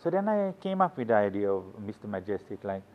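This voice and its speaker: Halting author, dull recording. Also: male voice, lowish voice, big pitch swings. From Sweden. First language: Swedish. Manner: rambling, anecdotal, halting restarts. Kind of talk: casual interview talk.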